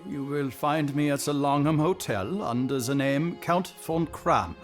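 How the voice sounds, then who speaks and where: A man playing a stately, grand royal visitor in an audio drama, speaking in a deliberate, halting way. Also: on the high side, soft background music. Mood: anxious, stately.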